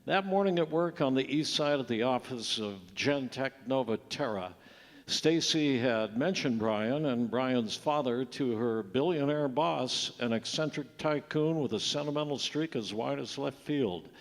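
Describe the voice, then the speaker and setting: A man narrating a live radio play as a wry storyteller, measured and pausing between phrases. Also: mid-range voice, close to an everyday voice. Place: large hall, live stage show. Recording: reverberant hall, live stage recording.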